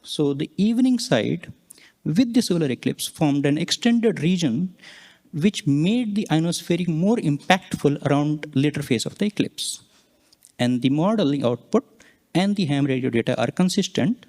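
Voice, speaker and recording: A man giving a scientific conference presentation, explaining slides and data in halting, explanatory phrases. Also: a little high, expressive, a little mellow. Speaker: researcher presenting a conference talk.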